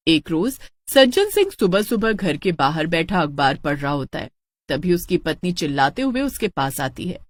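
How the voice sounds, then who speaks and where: A woman's voice: fairly low pitch, dry recording. A woman storyteller reading scripted narration for a cartoon tale.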